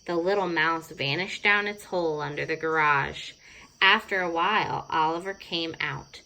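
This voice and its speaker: A woman's voice: low voice, moderate pace, bright, forceful tone, low-quality recording. A woman narrating a children's storybook expressively, stretching words for dramatic effect. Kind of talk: expressive children's read-aloud.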